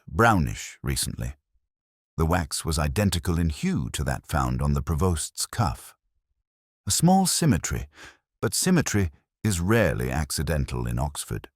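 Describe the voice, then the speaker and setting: A melancholy police inspector narrates a detective radio drama in an extremely deep voice. His delivery is measured and literary, with deliberate pauses and expressive swings in pitch. The sound is dry and close, and his tone stays wry and brooding. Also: no echo, exaggerated voice. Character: melancholy, wry middle-aged male English police inspector. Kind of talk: scripted first-person detective narration.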